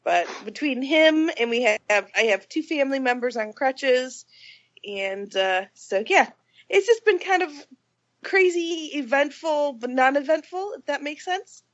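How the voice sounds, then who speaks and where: This woman sounds congested from a cold, her pitch a touch high. She chats casually on a podcast, light and self-mocking. The recording is a little dull and lo-fi. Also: lively intonation, measured pace. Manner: chatty, laughing, self-deprecating. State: stuffy from a cold. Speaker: podcast co-host. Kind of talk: casual chat.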